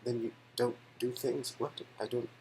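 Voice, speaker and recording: A man reading a game's narration aloud in a casual way, animated, and often breaking off into joking asides. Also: medium pitch, normal speed, a little hiss or hum. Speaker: reader of game dialogue.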